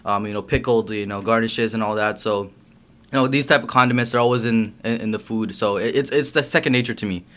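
A young man from Guyana, a guest talking about his business in a relaxed, casual way, full of "um" and "you know". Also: on the low side, fast talker. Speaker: guest, hot sauce business owner. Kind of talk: casual interview chat.

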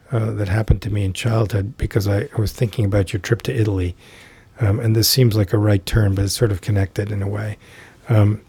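Middle-aged male guest, warm and slightly husky, lowish voice, dry close mic, rambling storyteller. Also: no room sound. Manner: unhurried, thoughtful, many fillers and restarts. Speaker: podcast guest, tai chi teacher. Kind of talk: reflective storytelling in conversation.

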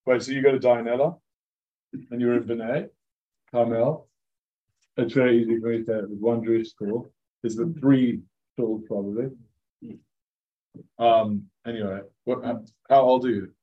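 A male rabbi speaking to a roomful of students in an easygoing, conversational way. His voice sits at a mid pitch, and his sentences are loose and scattered with fillers. He stops often to ask the audience questions. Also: fairly even intonation, moderate pace, long pauses, lo-fi sound. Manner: casual and chatty, many "like" fillers, questions to the audience. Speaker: rabbi teaching a class. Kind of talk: informal interactive lecture. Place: room with a live audience of students.

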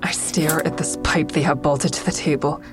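A woman's voice: sits low, fairly even intonation, normal speed, close to an everyday voice. An actress playing a bitter, distraught woman who narrates her own police questioning in a raw, profane way. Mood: shaken, weary. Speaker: narrator and lead character in an audio drama. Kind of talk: first-person dramatic narration and dialogue. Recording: music under the voice.

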